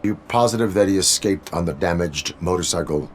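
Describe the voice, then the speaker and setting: Guy doing super low robot cop from a video game, deadpan, formal, clipped, totally dutiful. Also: a little fast, slight background noise, close to an everyday voice. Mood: flat, businesslike. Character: stoic male cyborg police officer, dutiful and literal. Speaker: police officer. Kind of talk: video game dialogue acting.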